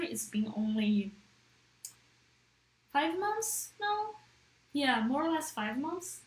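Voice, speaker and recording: A woman's voice: fairly high pitch, a bit bright, roomy sound, poor audio. A woman whose first language is Portuguese, chatting casually to a live-stream audience in English and often checking in with "right?".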